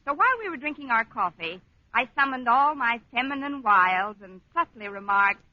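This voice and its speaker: A woman acting a sardonic, quick-witted schoolteacher in a 1940s radio comedy, with dry wisecracking delivery. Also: a little high, big pitch swings, no room sound. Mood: wry. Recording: dull vintage 1940s radio recording.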